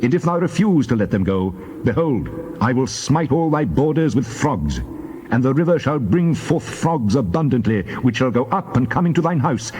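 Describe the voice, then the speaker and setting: A man playing God as a solemn, commanding deity in a dramatized scripture reading, measured and declamatory. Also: mid-range voice, a little mellow, a little hiss or hum, natural voice. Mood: stern warning.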